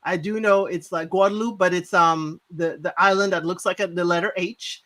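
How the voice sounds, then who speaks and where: A man's voice: high-pitched, fairly even intonation, normal speed, bright, forceful tone. A man born in Trinidad, chatting casually and playfully on a live show.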